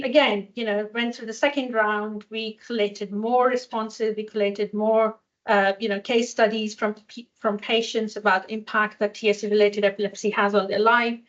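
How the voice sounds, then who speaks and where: A woman from a patient charity giving a conference talk in a hospital lecture hall. She pauses often, with many fillers and restarts. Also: medium pitch. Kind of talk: conference presentation. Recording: dull lo-fi sound.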